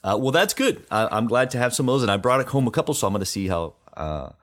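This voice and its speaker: A man's voice: medium pitch, sing-song, quick pace. A middle-aged man co-hosting a chat show, talking casually in an enthusiastic, meandering storytelling way.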